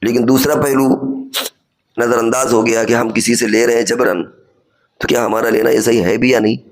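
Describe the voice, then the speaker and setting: Male cleric, medium pitch, instructive Friday sermon delivery, reasoned and explanatory. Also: steady tone, brisk. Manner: didactic, reasoning step by step with examples and rhetorical questions. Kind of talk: sermon, explanatory religious lecture.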